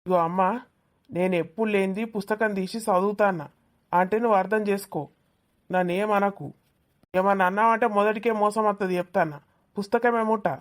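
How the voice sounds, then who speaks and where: A cheeky young village man from a cartoon comedy, voiced by a woman, grumbling and evasive. The voice sits at a middle pitch for a woman and has a casual Telangana accent. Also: fairly even intonation, fairly quick, close to an everyday voice.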